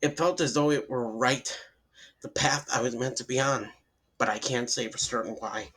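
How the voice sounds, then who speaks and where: Guy reading a creepy horror story out loud, middle pitch, trips on words a bit. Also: moderate pace, breathy edge. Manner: stumbles over words and restarts. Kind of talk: dramatic first-person horror story narration.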